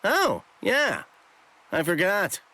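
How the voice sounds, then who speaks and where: An actor playing a sly, breezy shopkeeper in an anime game dub, with a glib, theatrical, playful way of talking. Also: male voice, high-pitched, wildly swooping pitch, slow pace, pushed and bright, heavily acted. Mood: playful, teasing. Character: sly, breezy shopkeeper hiding great power, cheekily self-flattering.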